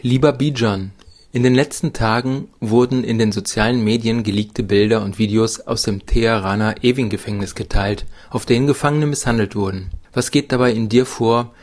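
A man asking interview questions in a calm, measured way as podcast host. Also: on the low side.

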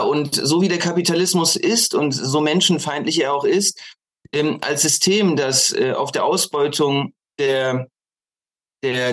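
A man speaks as a guest in a political talk. He is deliberate and halting, often repeating a word as he shapes his argument. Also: a little high. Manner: halting, deliberate, repeats words while formulating. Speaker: interview guest, author. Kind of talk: political discussion.

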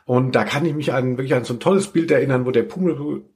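A man's voice: mid-range voice, quick pace, darkish tone. A man co-hosting a podcast in casual chat, rambling along with wry humour. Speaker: podcast host.

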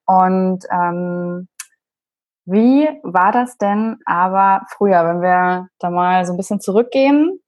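A woman's voice: on the low side, slow pace, darkish tone, dry recording. A woman hosting a podcast, asking her guest friendly, encouraging questions in casual talk. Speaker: podcast host.

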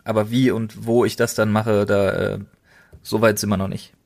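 A man's voice: fairly low pitch, flat delivery, moderate pace, vocal fry, darkish tone. A man co-hosting a podcast, chatting casually in slangy, colloquial language. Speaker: podcast co-host.

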